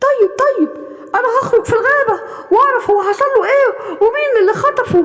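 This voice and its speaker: A woman playing a lion king father in a children's cartoon, impatient yet protective, with an Egyptian Arabic accent. His voice is very high for a male lion, and a long hall reverb hangs on it. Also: very fast, a bit bright. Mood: alarmed. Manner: complaining, then firm.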